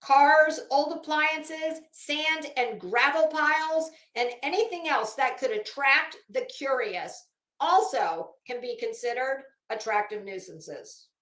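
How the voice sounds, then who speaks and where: High-voiced, blunt woman in an echoey room. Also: slow pace, a bit bright. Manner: blunt, sardonic, salty-tongued. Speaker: livestream host. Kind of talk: casual livestream commentary with reading aloud.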